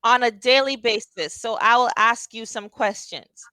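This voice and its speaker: A woman tutoring English over a video call, speaking slowly and patiently and asking simple questions. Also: on the high side, bright, forceful tone, no room sound, poor audio. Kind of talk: casual tutoring conversation.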